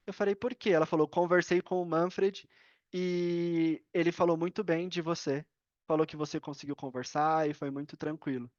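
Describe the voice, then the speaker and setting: A man's voice: on the high side, moderate pace, no echo. A Brazilian man telling personal stories as a podcast guest, informal and slangy, acting out bits of dialogue. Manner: informal, slangy, animated retelling with acted-out dialogue. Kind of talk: casual personal storytelling. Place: indoors at a computer on a video call. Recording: slightly dull, dry online-call audio.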